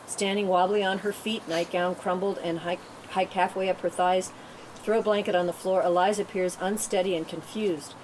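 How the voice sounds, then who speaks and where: A woman's voice: steady tone, normal speed, darkish tone, rough recording. A woman narrating screenplay directions at a table read. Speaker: narrator reading stage directions. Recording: clear background rumble and room noise.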